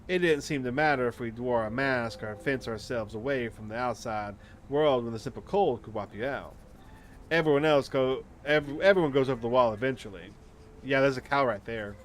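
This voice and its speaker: A man reading a visual novel aloud as a let's player, stumbling now and then and adding casual commentary. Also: mid-range voice. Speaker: video game let's player. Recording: clear background noise and ambience.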